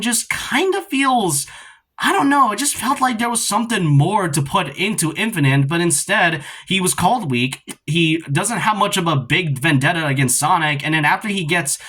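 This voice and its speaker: A man with a fairly high, very bright and forceful voice talks through his opinions on a video game. He sounds conversational and critical, rambling along casually as a commentator would.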